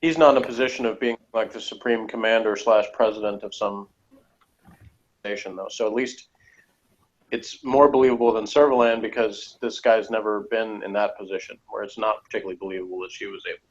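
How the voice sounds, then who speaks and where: A man's voice: lowish voice, fairly even intonation, a little fast, muffled recording. A man co-hosting a review show, giving his opinions in a casual, conversational way. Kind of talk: casual episode review chat.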